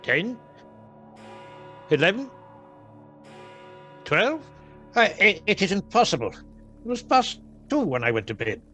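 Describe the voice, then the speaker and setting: A man's voice: sits high, wildly swooping pitch, slow, long pauses, sharp tone, soft background music, exaggerated voice. An actor playing a grouchy, miserly old man in a theatrical, emphatic radio-play style. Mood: bewildered.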